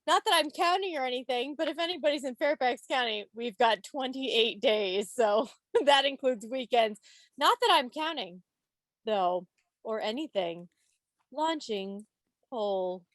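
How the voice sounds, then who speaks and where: A woman's voice: a little high, expressive, a little slow, fairly bright tone, no room sound. An American woman hosting an informal quiz on a video call, addressing the group playfully, like a schoolteacher. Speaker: quiz host. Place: online video meeting. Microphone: video call microphone.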